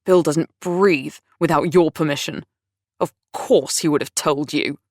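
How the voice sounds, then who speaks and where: A woman voicing a volatile, self-pitying man who rants and complains loudly. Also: low-pitched, fairly even intonation, unhurried, no echo, clean studio sound. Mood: angry ranting. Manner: ranting, raised and strained.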